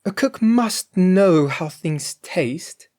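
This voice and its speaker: A woman's voice: on the low side, animated, very slow, warm tone, voice pushed up. A storyteller voicing a cheeky, greedy female cook from a storybook, merry, emphatic and full of excuses for herself. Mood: bright, self-justifying. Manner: emphatic, exclaiming. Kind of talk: storybook character voice.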